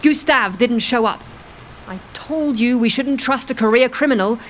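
A woman playing a high-voiced, frantic stranded agent. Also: normal speed, a little hiss or hum, natural voice. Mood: urgent, exasperated. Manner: urgent, exasperated, sweary. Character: frantic stranded female agent pleading for help. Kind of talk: audio drama acting.

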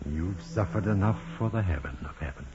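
Very deep-voiced, fatherly priest. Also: lively intonation, fairly quick, noisy background. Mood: tender, reassuring. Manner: gentle, reassuring, solemn. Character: kindly fatherly priest comforting a sick nun. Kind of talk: 1940s radio drama acting. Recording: muffled old radio recording, music behind.

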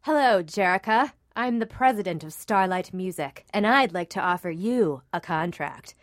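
A woman playing a smooth, scheming record company president in a parody radio play. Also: lowish voice, animated, moderate pace, dry recording, clean studio sound, natural voice. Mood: businesslike, scheming.

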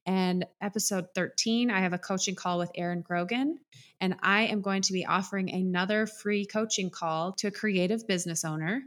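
Upbeat woman hosting a podcast. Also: medium pitch. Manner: friendly, upbeat, asks quick questions. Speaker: podcast host. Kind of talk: casual interview chat.

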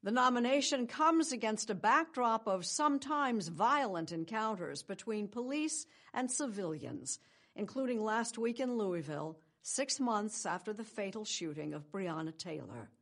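An older woman hosting a morning news program, reading scripted introductions in a measured, polished way. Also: mid-range voice, expressive, fairly bright tone. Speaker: news show host. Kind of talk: scripted broadcast narration.

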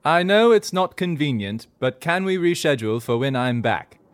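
A man acting a tactful, level-headed Victorian gentleman in a comedy radio play. His voice is a little high, very animated and closely recorded, with a wry, diplomatic manner. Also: normal speed, no echo, natural voice. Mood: dry, measured. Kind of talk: audio fiction comedy acting.

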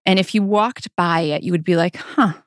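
A woman's voice: lowish voice, moderate pace, no room sound, clean studio sound. A woman co-hosting a podcast, talking casually in an earnest, reflective storytelling way. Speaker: podcast co-host.

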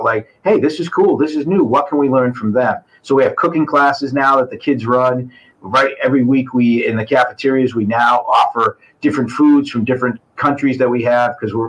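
A male school administrator with a husky, slightly rough voice at a middle pitch. He lays out his points earnestly and lists one thing after another as an interview guest. The New is faint. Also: a little fast, fairly bright tone, poor audio. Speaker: assistant superintendent.

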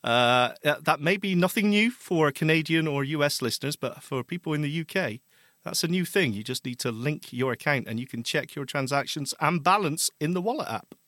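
A man's voice: fairly high pitch, animated, a little fast, fairly bright tone, dry recording, studio quality. A man from the UK co-hosting a podcast from a spare room at home, chatty and self-deprecating. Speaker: podcast co-host. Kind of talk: casual chat. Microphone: remote recording.

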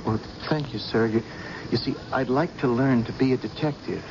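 An actor playing an earnest, grieving young would-be detective in a vintage radio drama. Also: male voice, mid-range voice, normal speed, natural voice. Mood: earnest, troubled. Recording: dull, very noisy old radio recording.

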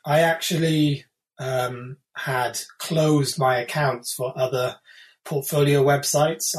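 A man in his thirties from the UK, an illustrator talking casually in an interview, thoughtful and hesitant with many fillers and restarts. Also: medium pitch. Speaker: illustrator, guest.

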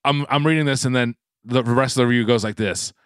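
A man's voice: mid-range voice, steady tone, quick pace, a bit bright, clean tone, dry recording, studio quality. A man co-hosting a comedy food podcast, rambling with many restarts and lots of swearing. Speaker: podcast co-host. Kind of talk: casual comedic chat.

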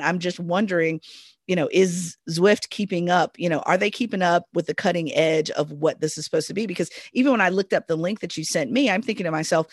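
A woman's voice: fairly low pitch, dry recording, clean studio sound. A woman co-hosting a casual conversation, thoughtful and questioning, talking things through. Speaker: podcast co-host.

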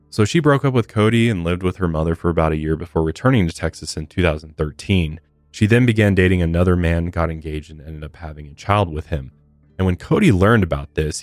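A man's voice: low voice, no echo. An American man hosting a true-crime podcast, reading scripted narration with blunt, casual, sometimes profane asides on a close microphone. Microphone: close studio podcast microphone. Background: faint music.

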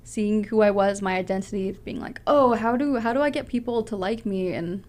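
A woman's voice: mid-range voice, fairly quick, darkish tone. Young woman, public speaker, earnest, passionate, big voice. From Canada. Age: young adult. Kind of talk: interview answers.

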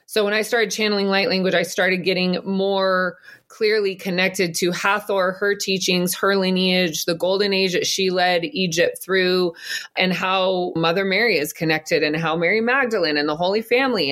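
A woman's voice: mid-range voice, a bit bright. A middle-aged woman being interviewed, speaking earnestly and fluidly about spiritual topics, often breaking into laughs. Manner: earnest, flowing, laughs easily. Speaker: podcast guest, psychic and business coach.

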